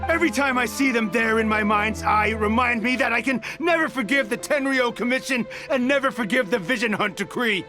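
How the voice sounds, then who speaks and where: An actor playing a fiery, embittered resistance soldier in a video game, proud and defiant. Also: male voice, very high-pitched, a little fast, few pauses, over music. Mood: bitter, impassioned. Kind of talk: dramatic video game character acting.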